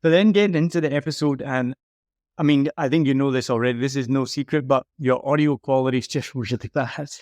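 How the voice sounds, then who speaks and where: A man speaks with a clear, resonant voice at a middle pitch. He delivers candid, emphatic critique in a conversational way, like a consultant talking a client through improvements. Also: fairly quick, a little mellow, no room sound, clean studio sound. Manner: frank, emphatic. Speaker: podcast audio consultant and reviewer.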